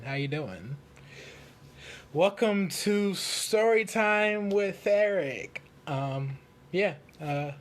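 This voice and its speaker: A man hosting a children's storytime in casual livestream chat, with a goofy, joking manner and drawn-out delivery. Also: fairly high pitch, slight background noise.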